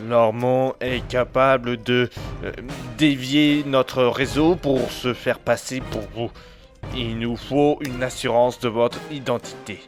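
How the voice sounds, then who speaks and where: A dry, exasperated underworld agent played by a male actor in an audio drama. His voice sits at an average pitch and he talks plainly and colloquially, keeping his head. Faint music runs behind him. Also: steady tone, slow, close to an everyday voice. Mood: formal reporting. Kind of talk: French audio drama acting.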